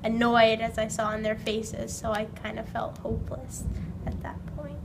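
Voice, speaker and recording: A soft-spoken woman answering interview questions earnestly. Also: a little high, little pitch movement, normal speed, vocal fry, a little hiss or hum.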